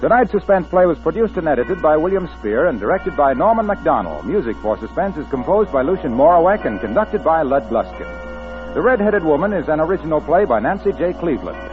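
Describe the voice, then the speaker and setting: A man's voice: a little high, very animated, fairly quick. An American man announcing in polished, emphatic 1940s radio commercial style. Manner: polished, emphatic salesman delivery. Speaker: announcer and sponsor pitchman. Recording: tinny 1940s radio recording, faint music.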